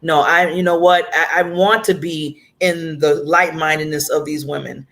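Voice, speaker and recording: A woman's voice: sits low, fairly even intonation, moderate pace, a bit bright. A woman hosting a talk show who speaks with emphatic, colloquial enthusiasm and laughs often.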